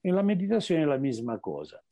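A man with an Italian accent talks as a meditation teacher on a radio show, searching for his words and slowing down to stress key points. His pitch rises and falls a lot. The recording is dry and close. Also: huge pitch swings, warm tone, no echo. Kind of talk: reflective interview answers.

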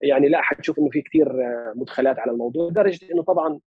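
A man from Lebanon with a Palestinian Arabic accent, speaking as an interview guest in a measured, formal way with many hesitations. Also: mid-range voice, slightly rough, no room sound, phone-quality sound. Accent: Palestinian Arabic as spoken in Lebanon. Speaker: guest, physician and analyst. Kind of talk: interview conversation.

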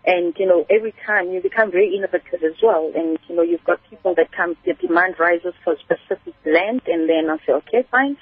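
A woman's voice: on the low side, a little fast, hardly any pauses, breathy edge, no echo. A South African woman talking conversationally as an interview guest, explaining things at length in a storytelling way over a narrow phone line. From Kimberley, South Africa. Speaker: guest, spice business entrepreneur. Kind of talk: conversational radio interview answers.

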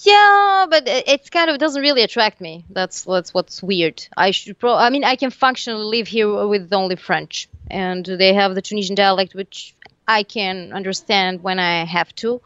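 A woman whose first language is Serbian speaks as an interview guest, hesitating and restarting often. Her voice is smooth and clean, recorded dry with slightly muffled highs. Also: expressive, moderate pace, fairly bright tone, dry recording. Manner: hesitant, many fillers and restarts. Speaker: musician guest. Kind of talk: casual interview chat. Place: living in Tunisia.

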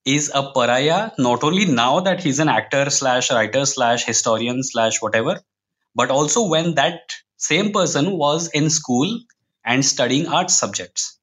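A man's voice: mid-range voice, expressive, a little slow, fairly bright tone. Reflective Indian man in podcast conversation. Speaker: guest, comic book writer.

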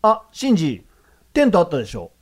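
Young man, blunt, breezy, casual, radio drama, highly varied intonation. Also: wildly swooping pitch, fast, full character voice. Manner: blunt, casual masculine speech. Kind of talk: Japanese radio drama acting.

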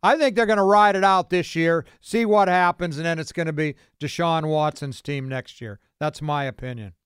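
A man's voice: a little high, moderate pace, fairly bright tone, clean tone, dry recording, clean studio sound. An American man hosting a casual sports call-in radio show. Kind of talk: casual sports talk with callers.

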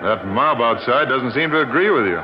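Male lead as assured American gentleman hero. Animated radio drama delivery, noisy old recording. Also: medium pitch, fairly quick, dull audio, close to an everyday voice. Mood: casual, curious. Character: cool, confident American gentleman adventurer hero. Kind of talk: 1950s radio drama acting.

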